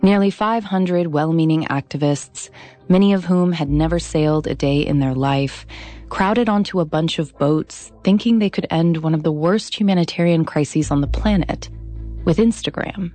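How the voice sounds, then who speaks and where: Low-voiced woman narrating a documentary. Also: mellow, faint music behind. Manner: measured, deliberate, slows on key phrases. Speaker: podcast host and narrator.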